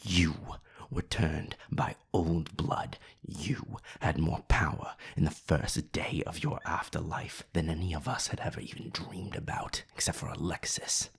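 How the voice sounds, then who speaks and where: A man voicing a haughty, scornful vampire with a raspy, breathy voice in a scripted audio drama. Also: low-pitched, unhurried, full character voice. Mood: bitter. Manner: haughty, cutting, sardonic. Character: scornful, condescending male vampire, bitter rival. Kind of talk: scripted audio roleplay acting.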